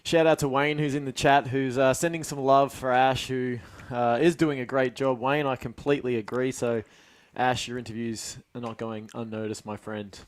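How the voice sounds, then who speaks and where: A man's voice: mid-range voice, level tone, normal speed, clear, resonant tone, no room sound. A man doing casual co-commentary for a live stream, friendly and easygoing in his banter. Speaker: studio co-commentator.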